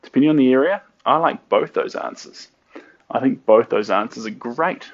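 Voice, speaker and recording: A man's voice: medium pitch, animated, normal speed, lo-fi sound. A man, chatting casually to his viewers while he paints. He stays upbeat and talkative and drifts into snatches of song. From New Zealand. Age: late 20s. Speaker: artist streaming while painting. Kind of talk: casual live-stream chat while painting.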